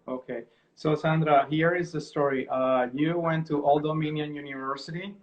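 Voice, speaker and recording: A man's voice: on the high side, fairly even intonation, normal speed. Man host, dull lo-fi sound. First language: Spanish. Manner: conversational, storytelling, self-correcting. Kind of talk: casual video-call interview.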